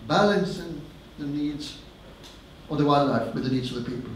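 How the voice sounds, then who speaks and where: A man's voice: a little high, moderate pace, far from the mic. Man, event moderator, joking intro, very echoey room, faint hum. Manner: informal and joking. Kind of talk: introducing a speaker. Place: large conference hall. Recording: echoey hall, voice from afar.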